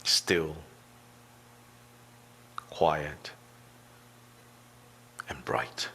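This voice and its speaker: A man teaching a guided meditation, speaking deliberately in short phrases with long pauses between them. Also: very deep voice, fairly even intonation, sharp tone, slight background noise.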